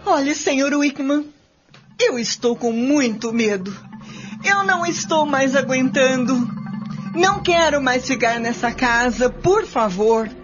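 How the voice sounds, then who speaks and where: High-pitched, desperate young housemaid. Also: female voice, a little slow. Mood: fearful. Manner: pleading, trembling, overwrought. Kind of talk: radio soap melodrama. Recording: dull lo-fi radio play with music.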